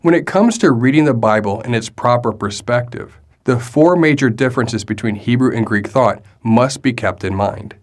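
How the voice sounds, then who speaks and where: A man reading explanatory narration in a steady manner. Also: medium pitch, moderate pace, hardly any pauses. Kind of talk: read narration.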